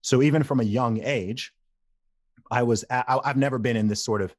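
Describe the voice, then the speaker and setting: A man's voice: medium pitch, normal speed, no echo, clean studio sound. A man in his thirties from England, a podcast guest who tells stories animatedly, repeats phrases and laughs easily. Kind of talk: casual interview conversation.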